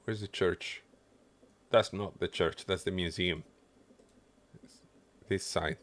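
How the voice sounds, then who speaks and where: Slow-talking man streaming a game. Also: sits low, steady tone, fairly bright tone. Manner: muttering running commentary to himself while playing. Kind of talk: casual livestream gameplay commentary.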